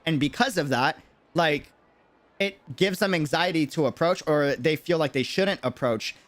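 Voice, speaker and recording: A man from New York City with an American accent, chatting casually on a game livestream in a rambling, slangy, animated way. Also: on the high side, moderate pace. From New York City, United States. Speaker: game streamer. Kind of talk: casual livestream chat and reactions.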